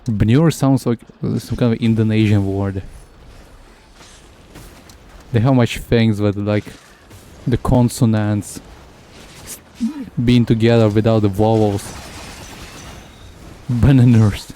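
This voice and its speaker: Mellow-voiced man rambling on a game stream. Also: lowish voice, fairly even intonation, slight background noise. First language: Russian. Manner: rambling, halting, jokey. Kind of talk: casual game livestream commentary.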